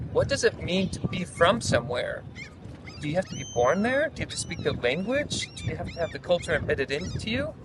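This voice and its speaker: Lively, playful American man vlogging. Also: on the high side, noisy background. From United States (Utah). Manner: lively, playful, upbeat storytelling. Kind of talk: casual vlog narration.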